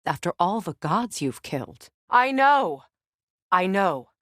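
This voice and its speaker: A woman's voice: lowish voice, big pitch swings, takes it slowly, a bit bright, dry recording, exaggerated voice. A fierce goddess and mother from a fantasy video game. She is proud and wounded by grief, speaking with grave, commanding intensity in a close, dry recording. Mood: bitter, intense.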